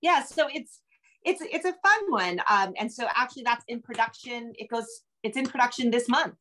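A woman's voice: fairly high pitch, lively intonation, moderate pace, a crowd in the background, low-quality recording. Middle-aged American woman, rambling interview guest. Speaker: interview guest, novelist and screenwriter. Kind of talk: casual interview chat. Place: home room with bookshelves. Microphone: video call.

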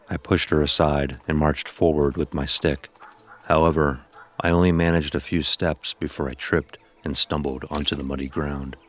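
A man reading first-person scripted narration for an audio story. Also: a bass voice.

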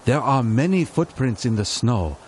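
A man playing a solemn, wise old village lama who speaks gravely and cryptically in a children's game. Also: medium pitch, animated, moderate pace, slight background noise, natural voice. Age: older. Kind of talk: children's educational game acting.

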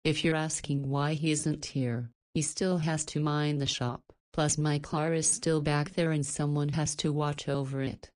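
A woman's voice: low voice, steady tone, a little slow, few pauses, darkish tone. An actress playing a caring, flirty woman in a visual novel, chiding and teasing like a doting guardian. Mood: concerned, warm.

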